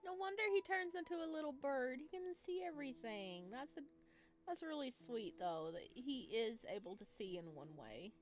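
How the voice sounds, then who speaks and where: A woman's voice: high voice, very animated, normal speed. A woman doing playful let's-play commentary on a visual novel, reading narration aloud and teasingly talking back to the characters. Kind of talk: playful reactive game commentary mixed with read-aloud narration. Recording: music behind the voice. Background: game music.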